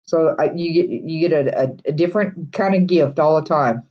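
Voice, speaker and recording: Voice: medium pitch, moderate pace. A livestream host rambles through viewer comments in casual chat. The host chuckles often and restarts sentences a lot. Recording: dull lo-fi livestream audio.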